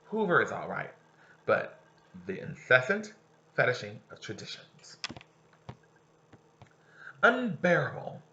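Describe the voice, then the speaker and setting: Animated teacher reading aloud, lo-fi. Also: male voice, medium pitch, slow, pauses often, a little hiss or hum. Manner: animated, stops to explain and ask questions. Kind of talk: reading a novel aloud to students with commentary.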